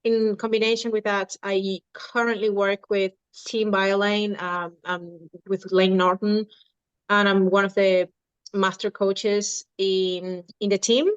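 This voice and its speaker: A woman in her thirties from Venezuela speaks in an unhurried, halting way, often restarting her sentences. Her voice is smooth and clear. It is recorded dry, with a slightly dull tone. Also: mid-range voice, little pitch movement, no room sound. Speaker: dietitian and nutrition coach. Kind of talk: podcast interview.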